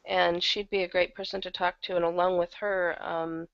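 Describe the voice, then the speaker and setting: Woman, interview guest, lower-pitched with strong vocal fry, conversational delivery, muffled online-call sound. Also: little pitch movement, normal speed. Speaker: interview guest, audio drama producer. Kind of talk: casual interview chat. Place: online video hangout.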